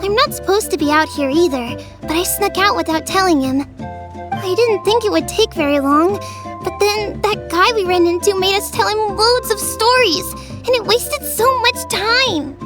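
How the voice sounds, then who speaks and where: A woman's voice: extremely high pitch, normal speed. A woman voicing an earnest, anxious little village child in a video game, with a light, childlike voice. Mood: worried. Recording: music behind the voice.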